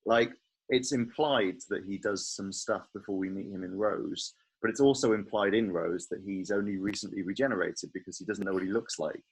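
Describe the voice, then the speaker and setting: A man's voice: on the low side, fairly quick, husky, rough recording. A man chatting casually as a podcast host, speculating in a tentative way. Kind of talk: casual podcast chat about a TV series.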